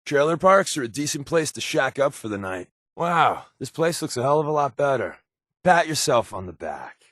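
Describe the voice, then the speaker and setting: A man's voice: medium pitch, big pitch swings, normal speed, a bit bright, no echo, studio quality. A man playing a wry, laid-back spy companion in a post-apocalyptic video game, given to sardonic asides. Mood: wry, casual.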